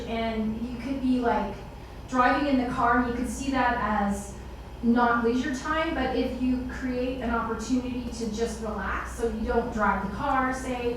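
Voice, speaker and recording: A woman moderating a panel, telling casual personal anecdotes to a room. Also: fairly high pitch. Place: indoor meetup event space with seated audience. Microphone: far camera. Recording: very echoey room, distant microphone, background noise.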